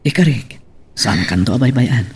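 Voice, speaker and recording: A man's voice: medium pitch, animated, moderate pace, darkish tone, slight background noise, natural voice. A man with an Ilocano accent playing a wealthy young suitor in a melodrama, speaking earnestly and coaxingly to his beloved. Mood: pleading, tender. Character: wealthy young master, ardent, pleading suitor.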